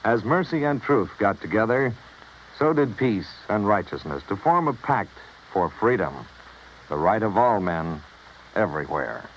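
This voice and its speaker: A man's voice: on the low side, very animated, a little slow. An American man reading solemn scripted narration in the style of a mid-century radio public service message. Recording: muffled old radio broadcast, faint noise.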